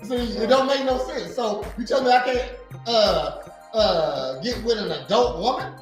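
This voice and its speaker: A man's voice: high voice, measured pace. A man in his forties co-hosting a podcast in rambling, profane casual chat, laughing often and repeating "you know what I mean". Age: 40s. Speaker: podcast co-host. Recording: very echoey room, faint music.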